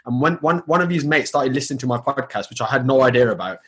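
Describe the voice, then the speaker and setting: A man's voice: mid-range voice, lively intonation, quick pace, a bit bright, slightly rough. A man talking as a guest on a podcast, reflective and sincere, with fillers like "like" and "um". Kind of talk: casual interview chat.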